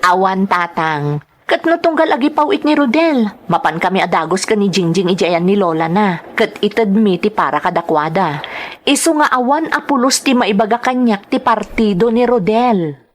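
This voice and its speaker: A woman plays a young Ilocano wife and mother who is breezy and free with money. Her voice sits at a middle pitch, acted out as in a radio drama serial. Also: lively intonation, moderate pace, slight background noise, natural voice. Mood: proud. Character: Lorica, carefree free-spending young mother, overseas worker's wife. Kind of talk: Ilocano audio drama acting.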